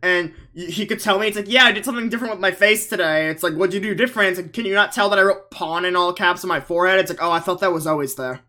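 A man's voice: high-pitched, racing, few pauses, sharp tone. A young man co-hosting a casual recap, rambling and swearing, slipping into mocking impressions. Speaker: podcast co-host.

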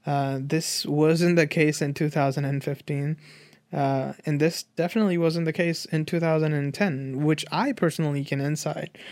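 A man's voice: on the high side, fairly even intonation, moderate pace, a little mellow, no room sound. A man in his twenties from Nepal, giving his opinions in a casual, earnest, rambling conversational way as a guest. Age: 20s. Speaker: interview guest.

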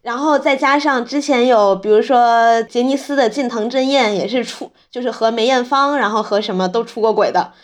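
A woman with a fairly high voice hosts a casual podcast. She explains things conversationally, like telling a story to her listeners. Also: moderate pace, hardly any pauses. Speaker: podcast host.

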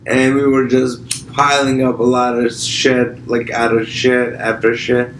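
A man from Pakistan chatting as a podcast guest, starting and restarting his sentences with lots of fillers. His voice sits at an ordinary pitch, and the room around him rings with echo over a faint hum of background ambience. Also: flat delivery. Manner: halting, restarts with many fillers. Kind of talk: casual conversation.

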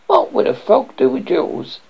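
A lonely, timid little frog from a storybook, as acted out by a narrator. The voice is pitched high and rasps with husky breath. It pleads in lively swoops. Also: moderate pace, dull audio, heavily acted. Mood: timid, eager. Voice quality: scratchy.

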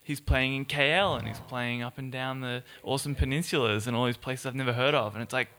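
A man's voice: mid-range voice, steady tone, a little fast, fairly bright tone. A man hosting a casual onstage chat, joking and laughing often. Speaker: host.

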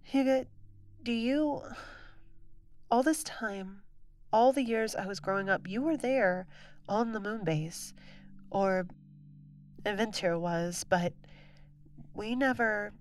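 Slow, hesitant, troubled young woman, played by an actress. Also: medium pitch, lightly acted. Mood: hesitant, questioning. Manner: hesitant, searching. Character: troubled, questioning young woman raised on moon base. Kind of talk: science-fiction audio drama dialogue.

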